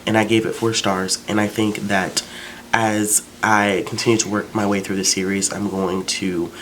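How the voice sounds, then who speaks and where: A man with a low, deep voice talks through his recent reads in an easygoing, chatty way, often pausing on "um" and "like". The recording has a faint hiss of background noise. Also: rough recording. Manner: chatty, relaxed, with fillers like "um" and "like". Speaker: book vlogger. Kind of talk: casual book review vlog.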